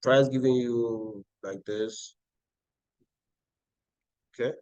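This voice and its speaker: A man's voice: medium pitch, steady tone, very slow, a little mellow, no echo, highs cut off. A Nigerian-accented man hosting a live trading stream, talking viewers through charts and answering their chat. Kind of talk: live-stream market analysis.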